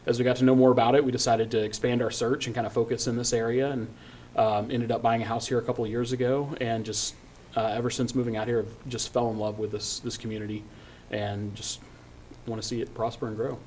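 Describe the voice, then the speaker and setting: A man from Oklahoma running for council, speaking hesitantly with many pauses. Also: mid-range voice, little pitch movement, darkish tone, slight background noise, poor audio. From Tulsa, Oklahoma. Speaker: council candidate.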